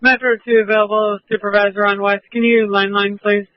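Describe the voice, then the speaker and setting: A man's voice: high-pitched, little pitch movement, brisk, a little hiss or hum. A man working as a police dispatcher, giving procedural updates over a police radio. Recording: dull lo-fi police radio audio.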